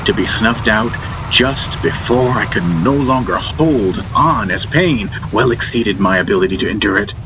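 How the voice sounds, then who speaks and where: A man reading a dramatic first-person audiobook story in deliberate, theatrical phrases with weighty pauses. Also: lowish voice, noisy background. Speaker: audiobook narrator. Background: atmospheric music soundtrack.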